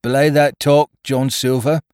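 A man's voice: mid-range voice, little pitch movement, measured pace, darkish tone, dry recording, clean studio sound, voice pushed up, close to an everyday voice. A man voicing a belligerent, mutinous pirate crewman in a storybook reading, blunt and accusing in rustic sailor speech. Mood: demanding. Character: belligerent mutinous male pirate crewman challenging his captain. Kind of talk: dramatised audiobook reading of a classic adventure story.

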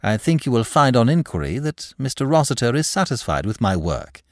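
A man voicing a suave, impudent young gentleman clerk for an audiobook, in flowery, ingratiating, over-polite speech. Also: medium pitch, sing-song, fairly quick, no room sound, studio quality, voice pushed up. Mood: smooth, self-assured. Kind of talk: audiobook character dialogue.

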